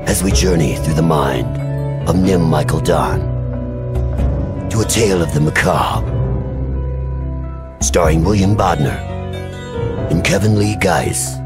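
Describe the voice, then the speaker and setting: Vintage radio-serial announcer: extremely low, slow, portentous delivery over loud music. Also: male voice, animated. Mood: ominous. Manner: portentous, theatrical, deliberate. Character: ominous male announcer of a macabre old-time radio serial. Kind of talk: 1940s radio drama narration.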